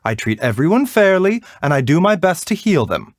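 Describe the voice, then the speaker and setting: An actor playing a gentle, composed herbal doctor in a video game, polite and measured in manner. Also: male voice, a little high, big pitch swings, no room sound, clean studio sound. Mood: calm explaining. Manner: soft-spoken, polite, measured, bedside courtesy. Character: gentle, courteous, composed male herbal doctor, frail yet unhurried. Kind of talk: video game character voice lines.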